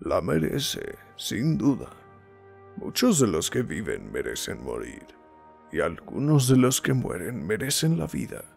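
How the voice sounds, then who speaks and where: A man voicing a wise old wizard in a fantasy film dub, solemn and deliberate. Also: lowish voice, huge pitch swings, vocal fry, faint music behind, exaggerated voice. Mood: grave, compassionate.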